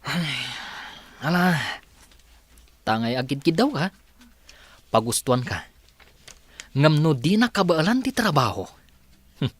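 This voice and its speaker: An actor with a Filipino Ilocano accent playing a teasing friend and confidant in a radio drama. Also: male voice, a little high, big pitch swings, a little slow, long pauses, fairly bright tone, slightly rough, dry recording, exaggerated voice.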